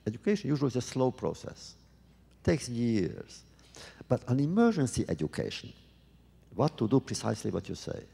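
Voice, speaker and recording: A man answering audience questions at a public talk, speaking thoughtfully and haltingly with many restarts. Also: mid-range voice, wildly swooping pitch, vocal fry, warm tone, slightly rough, big-room sound.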